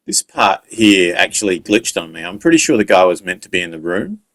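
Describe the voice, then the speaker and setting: A man's voice: sits low, very animated, a little fast, fairly bright tone. A man giving casual gameplay commentary as a guide creator. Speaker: video game guide creator.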